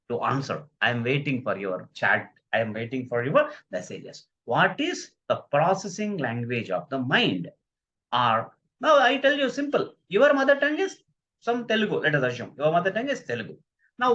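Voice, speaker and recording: Lively man lecturing online. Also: a little high. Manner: emphatic, repeats key phrases, asks the audience questions. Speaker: communication skills trainer. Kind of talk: live online lecture, reading viewer chat. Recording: dull lo-fi recording.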